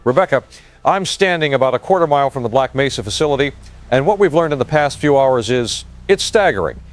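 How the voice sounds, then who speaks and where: A man acting as an urgent field reporter in an audio drama. He talks quickly in a mid-range voice, delivering a live report with slight hesitation. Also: mid-range voice, expressive, lightly acted. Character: urgent male field reporter at outbreak site.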